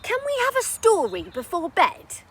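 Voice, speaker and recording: Woman voicing pleading young boy, high-pitched. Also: wildly swooping pitch, moderate pace, slight background noise, exaggerated voice. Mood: eager, pleading. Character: eager, pleading young boys at bedtime.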